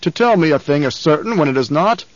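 Brief: a man voicing a scheming tyrant baron, slow, deliberate, loud menace. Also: expressive, no room sound, close to an everyday voice. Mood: menacing. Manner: slow, rumbling, roaring commands. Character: menacing obese older male baron, cruel and scheming. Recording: dull, dry studio recording.

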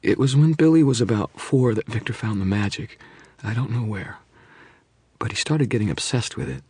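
A man voicing a frightened young mother who pleads and confesses in a rush, then drops to a hush. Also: fairly low pitch, lively intonation, husky, no room sound, pitched above the performer's own voice. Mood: fearful confession. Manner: breathless pleading, rushing then hushed.

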